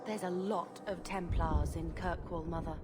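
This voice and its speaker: A woman's voice: on the low side, fairly even intonation, a little slow, over loud music, lightly acted. A young woman playing an earnest, anxious sister fleeing danger in a fantasy video game. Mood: worried. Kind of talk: video game cutscene acting.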